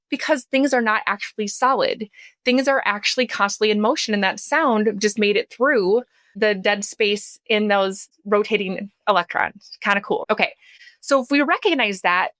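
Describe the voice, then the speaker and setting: Animated woman explaining, close dry sound. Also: medium pitch, no room sound. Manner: emphatic, conversational, checks that listeners follow. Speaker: podcast guest, business coach.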